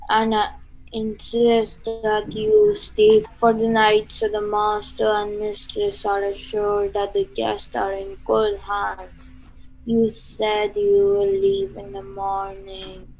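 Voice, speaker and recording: A woman's voice: medium pitch, slow, darkish tone, poor audio. A woman acting a formal, politely insistent servant of a spooky house, with an odd throaty delivery, in a radio play.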